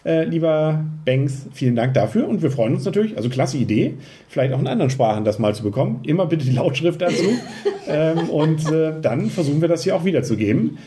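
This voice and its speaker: A man's voice: a little high, very animated, a little fast, warm tone. A man hosting a board game podcast, chatting and joking casually with colleagues at a party. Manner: joking, chatty, lots of fillers. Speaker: podcast host. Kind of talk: casual chat. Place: office Christmas party room.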